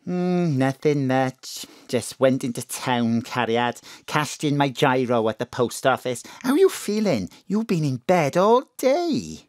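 Gushing, swooping Welsh mam. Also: female voice, a bass voice, big pitch swings, unhurried, hardly any pauses, darkish tone, no room sound, clean studio sound, exaggerated voice. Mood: breezy. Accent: South Welsh. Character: flirty, scatterbrained, melodramatic middle-aged Welsh mother. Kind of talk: comic audio drama acting.

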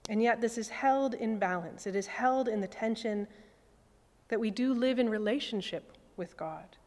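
A woman in her forties, a priest, preaching a sermon at a lectern, measured and reflective, with soft falling phrase endings. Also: fairly high pitch. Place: cathedral chancel at a lectern. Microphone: stand mic on lectern. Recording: long church reverb.

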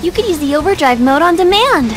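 Anime game engineer girl, high voice, confident and teasing, music behind. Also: expressive, fairly quick. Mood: explaining, eager. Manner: playful, self-assured. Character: confident, teasing young female mech engineer. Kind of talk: voiced visual novel acting.

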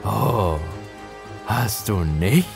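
A man voicing a gloating, manipulative older villain in a horror story, with a taunting, drawn-out delivery. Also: lowish voice, animated, vocal fry, soft background music, full character voice. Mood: mocking. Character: sinister mocking older man, gloating manipulative dealmaker with supernatural power.

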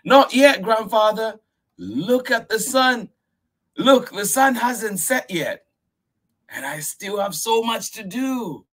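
Give me a man playing a high, eager little girl from a storybook, pleading insistently and politely. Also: animated, slow pace, a bit bright, heavily acted.

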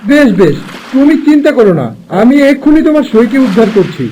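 A man's voice: very high-pitched, sing-song, fast talker, mellow, slight background noise, poor audio. A man voicing a humble, earnest young village cowherd in a fairy-tale cartoon. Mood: concerned, earnest.

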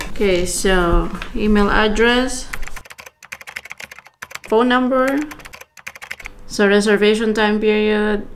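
A woman's voice: medium pitch, a bit bright. A woman in her thirties chatting casually through a vlog and talking through each step aloud. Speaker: vlogger. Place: home office, then car and supermarket.

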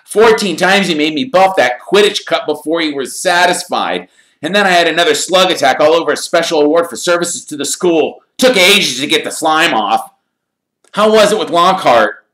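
A man voicing a tired schoolboy who complains in excited chatter. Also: a little high, moderate pace, reverberant, voice pushed up, low-quality recording. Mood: grumbling. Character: tired, grumbling schoolboy friend.

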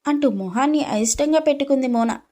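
A female narrator with a distinctly high-pitched voice. She reads a scripted dramatic story as its storyteller. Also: fast talker, dark tone.

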